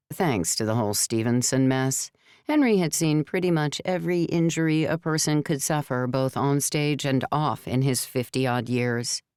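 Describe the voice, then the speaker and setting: A woman narrates fiction in a notably low, smooth and resonant voice. The recording is dry and close, and her delivery is measured, like someone telling a story. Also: darkish tone, dry recording. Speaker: audiobook narrator. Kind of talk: scripted narration of a novel.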